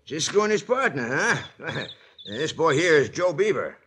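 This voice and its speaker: An actor playing a sleepy old sheriff in a 1950s radio drama, husky and mumbling. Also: male voice, fairly high pitch, sing-song, measured pace, fairly bright tone, a little hiss or hum. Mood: drowsy. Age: older. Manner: drowsy, mumbling. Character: Sheriff Buck Mason, sleepy old lawman.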